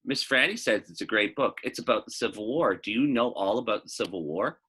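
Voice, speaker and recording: A man's voice: fairly low pitch, lively intonation, fairly quick, sharp tone, husky, exaggerated voice. A man reading the part of an earnest, eager, stubborn young girl in a storybook, plain-spoken and insistent.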